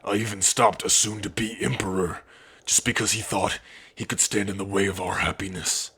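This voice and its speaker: A man playing a big, devoted, protective orc husband in an intimate ASMR roleplay, speaking tenderly in a husky, breathy voice with sighs and pauses. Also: lowish voice, steady tone, sharp tone, full character voice. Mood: devoted, earnest. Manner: slow, tender, sighing, with pauses.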